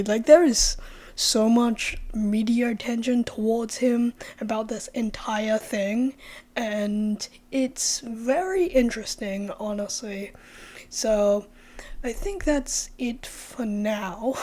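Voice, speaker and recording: A young woman vlogs in a loose, rambling way, sprinkling in fillers and the occasional curse. Her voice sits slightly high yet soft and mellow. It is worn and hoarse from a recent cold. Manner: chatty, many "like" fillers, swears freely. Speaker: true-crime vlogger. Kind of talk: casual opinion vlog.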